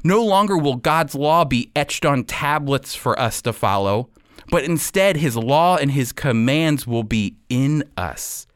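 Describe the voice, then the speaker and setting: A man giving a radio Bible teaching, explaining and reading scripture with emphatic, deliberate phrasing. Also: medium pitch, lively intonation, fairly bright tone, no echo, studio quality.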